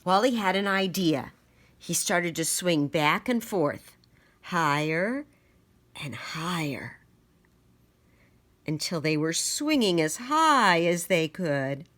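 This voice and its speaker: A woman reading a children's picture book aloud in a dramatic, deliberate storytelling manner. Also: on the low side, lively intonation, a bit bright.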